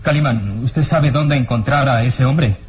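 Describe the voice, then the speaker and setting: A man's voice: medium pitch, unhurried, slight background noise, natural voice. A man playing a firm, impatient police inspector in a radio serial, formal and decisive, on an old recording. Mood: resolute.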